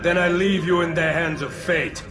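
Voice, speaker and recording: A man's voice: a little high, steady tone, moderate pace, lightly acted. An actor playing a stern, composed assassin in a video game, solemn as he passes judgment. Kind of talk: dramatic video game acting.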